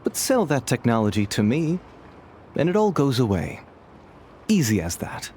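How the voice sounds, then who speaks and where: A man's voice: mid-range voice, sing-song, moderate pace, a little mellow, natural voice. Man as a smug, insinuating merchant, swooping delivery. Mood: smug, threatening. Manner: formal, smoothly insinuating. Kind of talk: video game voice acting. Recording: clear background ambience noise.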